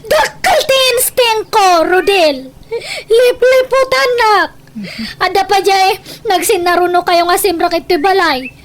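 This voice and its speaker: A woman's voice: very high-pitched, measured pace. A woman with an Ilocano accent playing a jealous, suspicious, nagging wife in a comedic radio drama, whiny and accusatory. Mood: tearful, jealous. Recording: faint background noise.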